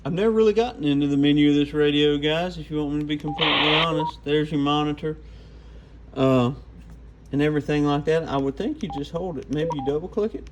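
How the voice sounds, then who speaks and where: A man's voice: on the high side, brisk, very noisy. A man giving an informal, off-the-cuff hands-on product walkthrough. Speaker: radio gear reviewer.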